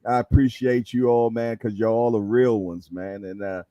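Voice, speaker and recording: Male host for a casual boxing panel chat, mid-pitched voice, dry recording. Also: little pitch movement, normal speed, warm tone, dry recording.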